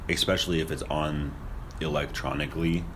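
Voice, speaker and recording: A man's voice: a bass voice, steady tone, brisk. A young man chatting casually as a podcast co-host, with a skeptical, questioning manner. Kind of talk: casual conspiracy discussion. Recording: noisy room recording.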